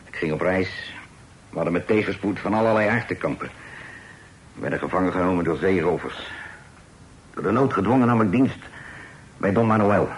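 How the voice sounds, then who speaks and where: An actor in a radio play voicing a proud sailor turned outlaw, speaking in a low, earnest and emphatic way. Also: male voice, animated, moderate pace, long pauses, rough recording. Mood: confessing.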